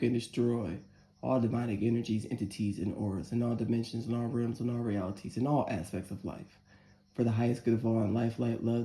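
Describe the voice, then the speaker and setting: A woman in her forties with a deep voice giving a casual tarot reading and prayer, chatty and candid, cursing freely. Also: mellow. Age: 40s. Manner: chatty, candid, curses freely and laughs often. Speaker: tarot reader. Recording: roomy echo.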